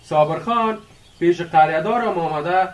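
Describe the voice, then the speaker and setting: A man's voice: a little high, far from the mic, muffled recording, natural voice. An actor playing a curious, impatient young village man who prods his friend conversationally in a radio drama. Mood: friendly. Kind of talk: radio drama acting in dialogue.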